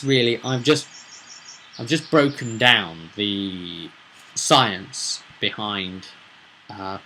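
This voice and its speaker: Male game master for a casual explanatory vlog: forceful, bright delivery, emphatic and rambling, slight noise behind the voice. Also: mid-range voice, rough recording. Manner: rambling, emphatic, asks rhetorical questions. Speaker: game master recapping a tabletop roleplay session.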